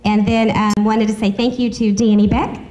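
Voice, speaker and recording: A woman's voice: medium pitch, level tone, moderate pace, mellow. A woman chatting to a group as she makes informal announcements as host of a business meeting. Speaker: chamber of commerce host.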